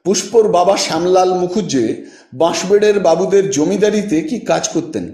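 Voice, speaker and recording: A man reading a literary story aloud as an audiobook narrator, with measured, dramatic phrasing and deliberate pauses. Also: high-pitched, mellow. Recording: roomy echo, distant from microphone.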